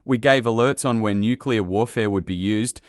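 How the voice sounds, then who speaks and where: A man's voice: on the low side, normal speed, no room sound, clean studio sound, close to an everyday voice. A man playing a grave, matter-of-fact announcer reading a scripted emergency alert broadcast. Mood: grave, official.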